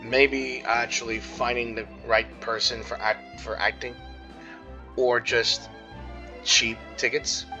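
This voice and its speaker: A woman doing casual gameplay commentary, halting at times, with jokey asides. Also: very deep voice, flat delivery, bright, forceful tone. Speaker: gaming streamer. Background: faint game music.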